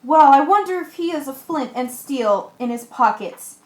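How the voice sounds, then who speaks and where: A woman's voice: sits high, lively intonation, normal speed. A woman playing a bold, practical, commanding ship's mate in an amateur radio play. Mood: curious, determined. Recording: echoey room.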